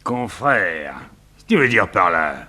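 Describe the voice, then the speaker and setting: A man playing a menacing, excitable gang boss in a 1960s French radio crime serial, bossy and theatrically exasperated. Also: sits low, unhurried, heavily acted.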